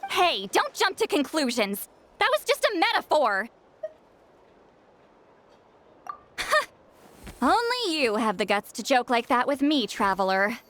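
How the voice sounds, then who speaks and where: A woman's voice: sits high, sing-song, moderate pace, a bit bright, slight background noise. A woman playing a proud, grumpy, easily flustered great shaman in an anime game, with a haughty, huffy manner. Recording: background music under the voice.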